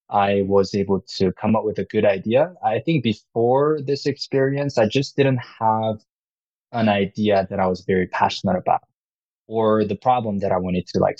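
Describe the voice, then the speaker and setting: A man's voice: on the low side, a little mellow, dry recording. A young man from Korea, a startup founder, answering interview questions in an earnest, upbeat way. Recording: dull, muffled sound.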